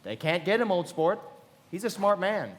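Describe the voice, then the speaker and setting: An actor playing a suave, self-assured wealthy gentleman in a live radio play, genteel and knowing, recorded in an echoey hall. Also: sits high, sing-song, moderate pace. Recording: echoey hall with long reverb.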